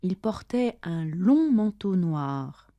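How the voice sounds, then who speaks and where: A woman reads her half of a scripted practice dialogue, slowly and with deliberate care so learners can follow. Her tone is warm and mellow, and the recording is dry and close, with no room sound. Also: lowish voice, very animated, no room sound, dull audio. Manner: careful, deliberate delivery for learners. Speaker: language-lesson dialogue reader. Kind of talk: slow scripted dialogue, each line read twice.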